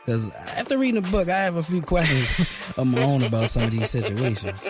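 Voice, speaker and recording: A man playing an enthusiastic, teasing local radio host in an audio drama, with an informal, slangy, halting delivery. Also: medium pitch, lively intonation, music in the background, close to an everyday voice.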